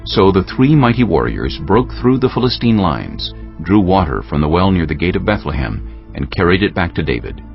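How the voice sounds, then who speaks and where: A man narrating dramatized scripture as a solemn, measured storyteller. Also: low-pitched, very animated, creaky, muffled recording, soft background music.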